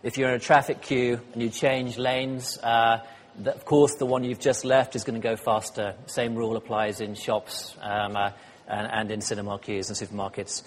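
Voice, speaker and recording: Husky-voiced man preaching in a hall. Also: mid-range voice, little pitch movement, normal speed. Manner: chatty, self-deprecating, many ums and uhs. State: slightly hoarse. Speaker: guest speaker. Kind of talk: sermon with light humor. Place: church hall. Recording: church hall reverb.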